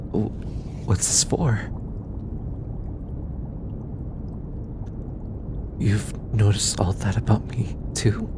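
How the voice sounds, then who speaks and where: Audio roleplay: anxious alien researcher, male actor, on the low side, slow and halting, earnest and self-critical, over clear background noise. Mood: flustered, shy. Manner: hesitant, halting, stammering, sighing. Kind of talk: scripted audio roleplay, intimate one-sided dialogue.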